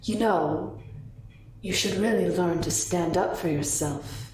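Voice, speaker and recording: Woman voicing a slow, menacing kitsune woman. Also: on the low side, a little mellow. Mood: disappointed. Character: fierce, menacing kitsune woman, proud and vengeful. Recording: roomy echo, distant mic, faint noise.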